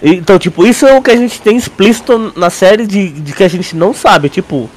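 A young Brazilian man with a notably high-pitched voice co-hosts a casual podcast. His talk is informal and slangy, peppered with filler words as he chats. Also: a little fast, low-quality recording. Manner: slangy, with frequent filler words like "tipo" and "mano". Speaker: podcast co-host. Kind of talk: casual chat about a sci-fi audio drama.

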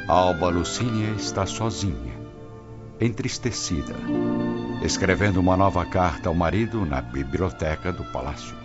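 A man with a very deep voice narrates a radio drama. His storytelling is solemn and formal. Music plays clearly beneath a dull, lo-fi recording. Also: expressive, moderate pace.

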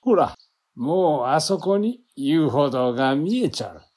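A man's voice: a little high, big pitch swings, measured pace, darkish tone. A man acting as a kindly older forest guide in an audio drama, with folksy, reassuring speech. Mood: calm, reassuring.

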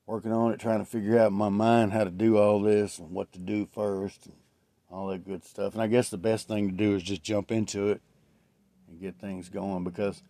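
A man in his late forties talks through his own solo podcast in a low voice. He rambles and reflects, with plenty of fillers and restarts. The sound is close and dry, with no room echo. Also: a little mellow. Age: 40s. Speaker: podcast host. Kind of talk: casual solo podcast monologue.

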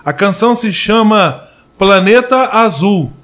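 A man hosting a radio program, formal and announcing clearly. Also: high voice, lively intonation, measured pace, fairly bright tone. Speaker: radio host.

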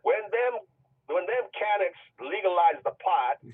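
Husky man joking down a phone line. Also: sits high, animated, unhurried. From Jamaica. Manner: rambling, joking storyteller. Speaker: indie film director. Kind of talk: casual phone interview. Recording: tinny distant phone line.

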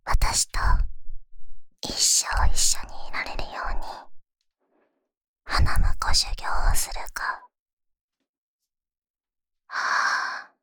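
A woman playing a possessive, sweetly menacing young rich girl in a Japanese ASMR voice drama, with cutesy, coaxing phrasing and whispers. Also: sharp tone. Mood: whispered menace.

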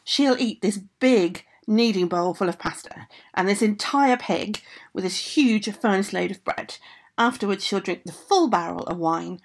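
Woman reading a quick, scheming young ogress daughter. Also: medium pitch. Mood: urgent. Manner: brisk instructions, hushed whispering asides.

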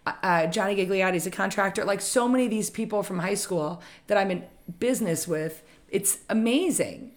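A woman with a slightly raspy voice, a real estate leader chatting enthusiastically in a podcast conversation. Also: medium pitch, brisk, hardly any pauses.

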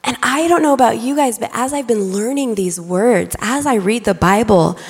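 A young adult woman preaching a lively, excitable sermon to her congregation from a church stage. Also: a little high, moderate pace, husky. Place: large church auditorium. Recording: reverberant church hall.

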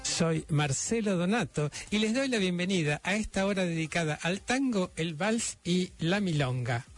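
A man's voice: high voice, expressive, moderate pace, soft background music. A man hosting a radio program, announcing the schedule and introducing a guest, with frequent hesitant fillers. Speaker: radio host.

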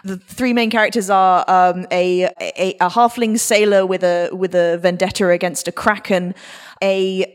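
A woman on a live panel giving a casual recommendation, with a hesitant, halting way of talking. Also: medium pitch, steady tone, clear, resonant tone. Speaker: panel guest. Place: live event room with audience. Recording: echoey hall.

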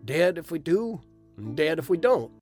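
An inquisitive, plain-spoken fantasy adventurer, acted by a woman. The voice has lively intonation and sits over clear music, in audio drama style. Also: deep voice, moderate pace. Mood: resigned.

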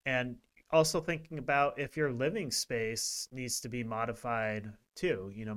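A man's voice: medium pitch, smooth. A man co-hosting a wellness podcast, talking casually with lots of fillers and restarts. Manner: relaxed, rambling with many fillers. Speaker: podcast co-host. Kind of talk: casual chat.

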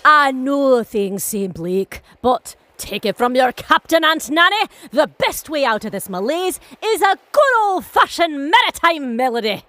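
A woman plays a brisk, commanding cartoon captain. Her fairly high voice swings lively as she gives orders and reassures the crew. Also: heavily acted. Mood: rallying. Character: bossy cheerful ship captain, an aunt.

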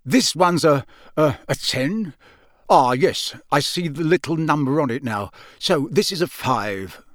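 A man's voice: on the high side, lively intonation, measured pace, a bit bright, no echo, clean studio sound. A man voicing a mild, cheerful wizard father in an audiobook, polite, hesitant and innocently puzzled. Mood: flustered.

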